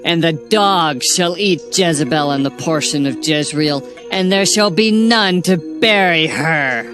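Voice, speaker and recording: A man voicing a young prophet who proclaims a divine oracle in a solemn, declaiming way, over loud music. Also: a little high, measured pace, lightly acted.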